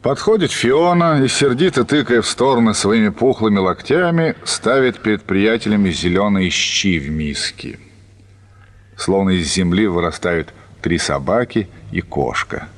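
A man's voice: lowish voice, animated, a little slow. A man reading literary narration as the storyteller of a radio play.

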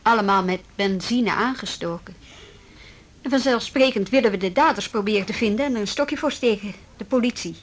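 A woman acting a brisk, persistent policewoman in a radio drama, firm and probing as she questions people. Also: medium pitch, lightly acted. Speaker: police officer.

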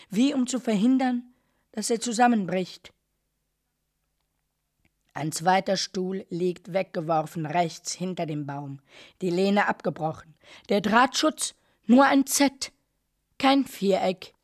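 A woman reading literary narration for a radio play in deliberate, measured phrases with pauses. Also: medium pitch, a little mellow.

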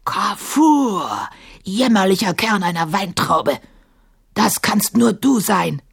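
A woman's voice: low voice, big pitch swings, slow pace, vocal fry, husky, pitched below the performer's own voice, heavily acted. A woman voicing a huge, cruel male djinn in a storybook reading, bellowing, growling and mocking. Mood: enraged, roaring.

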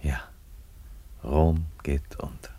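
An actor playing a middle-aged Roman emperor in a German radio play, weary and coolly ironic, with a deliberate delivery. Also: male voice, a bass voice, steady tone, mellow, slightly rough, heavily acted. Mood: calm, final. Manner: deliberate, unruffled, declarative.

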